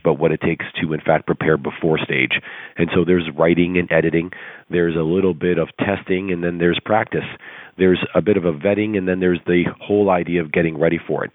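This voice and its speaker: A hoarse man with a very deep voice gives an earnest, rousing coaching talk full of rhetorical questions. He is heard over a tinny conference phone line. The sound is dry and close. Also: fairly even intonation, a little fast, dry recording. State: hoarse, recovering from laryngitis. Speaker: coaching call presenter. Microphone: telephone conference call.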